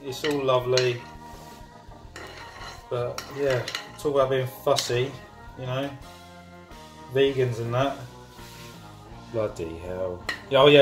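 A young man, chatting casually and joking while he cooks in his home kitchen, filming on a handheld phone. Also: mid-range voice. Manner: chatty, jokey, rambling asides. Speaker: home cooking vlogger. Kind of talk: casual cooking vlog. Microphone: handheld phone camera.

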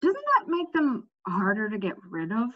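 A woman's voice: medium pitch, sing-song, normal speed. A woman voicing a polite, mildly doubtful young king in a storybook, in a questioning manner. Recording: slightly dull webcam audio.

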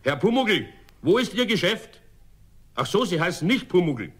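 An actor playing a gruff, exasperated police sergeant with a Bavarian accent, brusque and officious, in a children's radio play. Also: male voice, high voice, sing-song, normal speed, full character voice.

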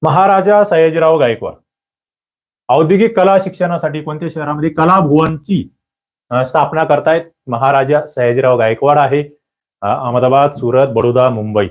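A man teaching an exam prep lesson, quizzing his listeners with lively questions, then answering them himself. He often clears his throat. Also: fairly high pitch, moderate pace, muffled recording. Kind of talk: quiz-style exam prep lecture.

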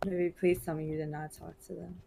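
Blunt woman, low mellow voice, noisy mic. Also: little pitch movement, fast, creaky. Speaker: role-player acting as suspect's girlfriend. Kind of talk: improvised game roleplay, casual profane slang.

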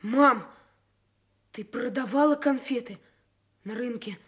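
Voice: medium pitch, takes it slowly, fairly bright tone, slightly rough. A performer playing an earnest, brooding young boy in a Soviet radio play. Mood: troubled, questioning. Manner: brooding, questioning. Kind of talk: Soviet radio drama acting.

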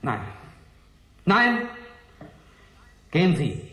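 A man acting as an indignant doctor under pressure in a radio play, halting and vehement. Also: high-pitched, sing-song, fairly bright tone, heavily acted.

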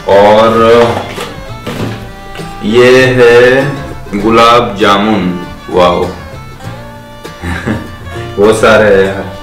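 A man's voice: fairly low pitch, fairly bright tone. A young man chatting casually as he unboxes takeaway food for his vlog and counts the items aloud. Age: young adult. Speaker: vlogger. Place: bare indoor room, seated on floor. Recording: echoey room with faint music.

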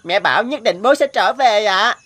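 A woman playing a sweet, eager little boy in an animated drama, innocent and childlike. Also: medium pitch, normal speed, lightly acted. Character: sweet, eager little boy who adores his father. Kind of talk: animated cartoon drama acting. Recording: loud music behind the voice. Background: music.

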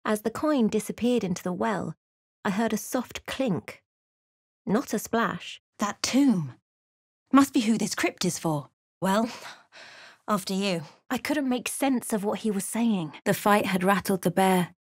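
A woman voicing an observant adventuress in a fantasy video game, with measured, literate, dramatic delivery and wry asides. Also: mid-range voice, husky, no echo, clean studio sound. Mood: dry, wry. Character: observant young adventuress, a fantasy game companion recounting her journey. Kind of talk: video game voice lines, dramatic narration and remarks.

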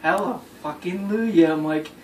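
A man's voice: sits high, a little slow, darkish tone. Emphatic young man, roomy distant recording. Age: young adult. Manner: exasperated, emphatic, repetitive. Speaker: storyteller. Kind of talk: casual storytelling among friends. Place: small bedroom, indoors. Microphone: phone camera across the room. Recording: roomy, noisy recording, distant phone mic.

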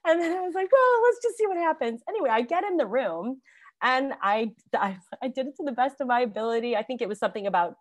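A woman on a video call panel telling stories about her acting career in a chatty, animated way, laughing often and restarting sentences. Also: sits high, fast talker, dry recording. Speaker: alumni panelist, actor.

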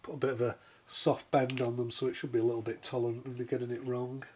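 Man, croaky from a cold, chatty workshop commentary, mid pitch. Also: level tone, fast, a little mellow, slight background noise. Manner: chatty, thinking aloud while working. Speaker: repair video host. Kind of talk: casual repair commentary. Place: home workshop bench.

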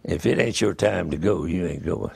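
A man's voice: deep voice, moderate pace, creaky, darkish tone, husky, no room sound, studio quality. A man in his nineties with a Southern US accent, talking casually in an interview at home. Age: 90s. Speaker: war veteran interviewee. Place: living room indoors.

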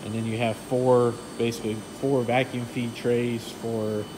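A man casually walking through his print shop and explaining its equipment in a relaxed, technical way with lots of fillers, recorded on a phone he carries. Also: medium pitch, unhurried, background noise. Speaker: print shop owner giving a tour. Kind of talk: casual walkthrough tour. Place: print shop offices and warehouse, indoors. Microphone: handheld phone camera, filming while walking.

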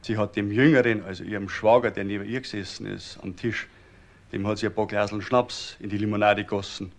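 A folksy Bavarian musician in a crime radio play. He gives his testimony with chatty self-assurance, in a fairly low voice. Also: steady tone, brisk, creaky. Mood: chatty, knowing. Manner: folksy, colloquial. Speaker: witness, musician. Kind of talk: crime radio drama acting.

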